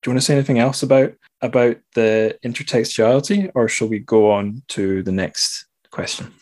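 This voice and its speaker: A man's voice: mid-range voice, normal speed, darkish tone. A man hosting a podcast, casually discussing literature in a rambling, self-deprecating way as he thinks aloud. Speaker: podcast host.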